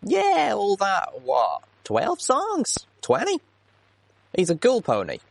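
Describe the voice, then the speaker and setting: Man putting on opinionated gossiping tavern pony, lively. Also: high voice, slow pace, gravelly, voice pushed up, full character voice. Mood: eager bickering. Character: squabbling, opinionated tavern ponies trading gossip.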